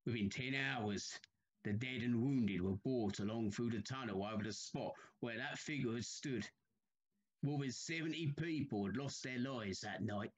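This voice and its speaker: A man's voice: mid-range voice, moderate pace, husky, natural voice, low-quality recording. An actor playing a haunted, troubled railway signalman in a period audio drama, telling his tale with grave urgency.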